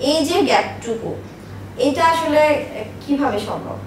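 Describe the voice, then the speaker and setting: A woman's voice: sits high, animated, measured pace, crowd noise. A woman in her thirties telling personal stories as an interview guest, speaking emphatically and repeating herself for stress. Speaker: interview guest, business owner. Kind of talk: personal life storytelling in an interview. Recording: echoey room, distant mic, faint noise.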